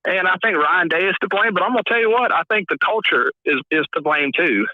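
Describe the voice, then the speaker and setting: A man's voice: high voice, lively intonation, fast, no room sound. A man from Mississippi calling in to a sports talk show, rambling with many restarts and fillers. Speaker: caller. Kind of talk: sports call-in commentary. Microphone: phone. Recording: tinny phone line.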